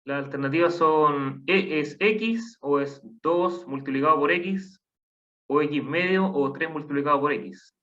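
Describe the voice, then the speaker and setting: Patient man teaching math slowly. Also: fairly high pitch, sharp tone. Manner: patient, counts aloud slowly, asks the class questions. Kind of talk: online class explanation. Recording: dull lo-fi online class audio.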